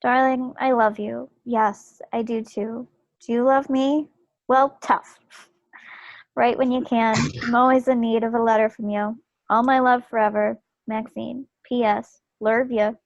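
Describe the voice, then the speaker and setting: A plucky, loving young wartime wife played by a woman reading a stage play. Her voice sits fairly high, and she chats tenderly and easily. The video call sound is dry and a little dull. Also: level tone, unhurried, no room sound. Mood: tender, playful. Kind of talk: dramatic table read of a stage play. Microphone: computer mic on a video call.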